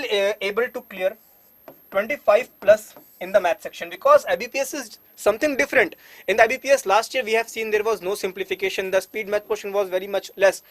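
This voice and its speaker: A man's voice: sits high, a bit bright, no room sound. Earnest man maths teacher, dry sound. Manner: earnest, many fillers, assuring students. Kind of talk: online exam-prep webinar.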